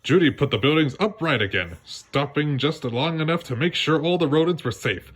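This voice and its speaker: A man narrating a children's read-along story in a lively storytelling manner. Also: fairly high pitch, moderate pace, slightly rough. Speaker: storybook reader.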